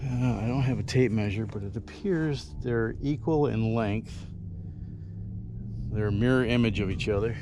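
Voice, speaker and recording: A man's voice: on the low side, normal speed. A man giving casual outdoor travel narration to camera as a vlogger, exploring out in the open. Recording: windy outdoor recording with loud noise. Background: loud wind noise.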